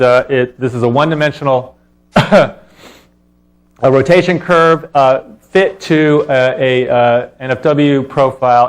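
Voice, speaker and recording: Halting male scientist giving a research talk. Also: mid-range voice. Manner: halting, informal, explanatory delivery with frequent hesitations. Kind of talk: academic conference talk.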